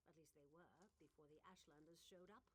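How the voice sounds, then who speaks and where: Woman playing brash scornful female survivor, sardonic, blunt, slightly low voice, fantasy game dialogue, faint noise. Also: flat delivery, fast talker, close to an everyday voice. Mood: dismissive. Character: brash, irreverent, scornful woman hiding in a mine. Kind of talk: video game character acting.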